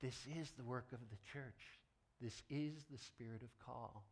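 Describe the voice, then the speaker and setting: Man preaching a deliberate, emphatic sermon. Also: mid-range voice. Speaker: pastor.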